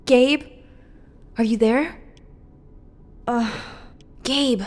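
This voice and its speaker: A woman's voice: on the high side, drawn-out words. A woman voicing a tough captured female agent in a game cutscene, tired and winding down but determined, talking tersely. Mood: weary, determined.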